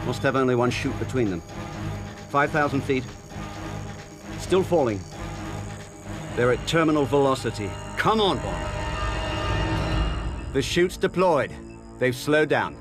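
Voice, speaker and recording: A man as an efficient intelligence aide in a game cutscene. He has a mid-pitched voice and gives alert, factual reports with music playing behind him. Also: animated, measured pace, long pauses, natural voice. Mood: tense. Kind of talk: video game cutscene acting.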